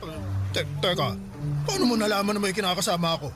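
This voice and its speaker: High-voiced smitten man. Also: lively intonation, fast, music in the background. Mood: flustered, eager. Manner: eager, stammering when flustered. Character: smitten, lecherous, easily flustered neighbourhood man. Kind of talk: radio drama acting.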